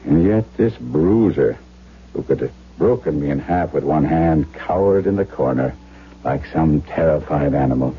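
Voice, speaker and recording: An actor playing an earnest, principled young German doctor in a radio drama, speaking in a measured, formal way. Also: male voice, extremely low pitch, animated. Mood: reflective, grave. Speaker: narrator and lead character. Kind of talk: 1970s radio drama acting, with diary narration. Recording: muffled old radio broadcast with hum.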